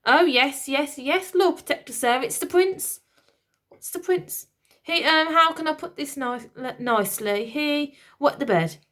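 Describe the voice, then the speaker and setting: A woman's voice: sits high, a little slow, a bit bright, pitched above the performer's own voice. A woman voicing a nervous old nanny with clacking false teeth who hisses and shushes. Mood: flustered. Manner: flustered, shushing, hissing.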